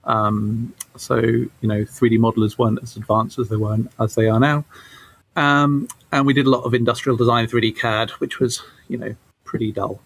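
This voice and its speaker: A man in his forties answering interview questions casually, halting and thoughtful, as a guest game developer. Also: medium pitch, level tone, vocal fry, fairly bright tone, breathy edge. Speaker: guest, game studio creative director.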